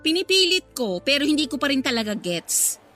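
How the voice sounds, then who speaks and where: A brazen confidante in a radio drama. She has a high voice and challenges bluntly, with scornful, nagging phrasing. Faint music plays behind her. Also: very animated, brisk, bright, forceful tone, exaggerated voice. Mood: annoyed, challenging. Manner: scornful, confrontational. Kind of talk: Filipino radio drama acting.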